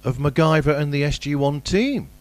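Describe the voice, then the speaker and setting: A man's voice: on the high side, animated, moderate pace, a little mellow, no room sound. A British man hosting a casual interview podcast, phrasing things in a hesitant, roundabout way. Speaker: podcast host.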